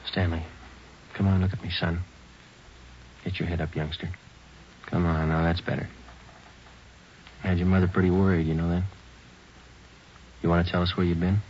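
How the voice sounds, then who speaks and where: A man playing a steady American police sergeant who narrates his case in a clipped, matter-of-fact way in a 1940s radio drama. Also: sits low, moderate pace, pauses often, a little hiss or hum, natural voice. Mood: calm, coaxing. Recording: muffled old 1940s radio recording.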